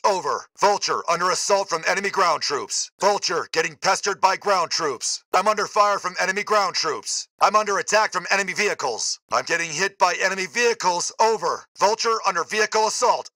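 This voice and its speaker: Bright-voiced military gunship pilot. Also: male voice, high-pitched, no room sound, poor audio. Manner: clipped, military, addressing superiors as sir. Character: brisk confident military gunship pilot, adult man. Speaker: game voice actor. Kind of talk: military radio callouts, video game acting.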